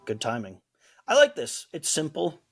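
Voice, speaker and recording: A man's voice: a little high, huge pitch swings, moderate pace, creaky, breathy edge, faint music behind. A man co-hosting a casual podcast, chatting with nostalgic enthusiasm and restarting his sentences often. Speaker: podcast co-host. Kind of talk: casual chat.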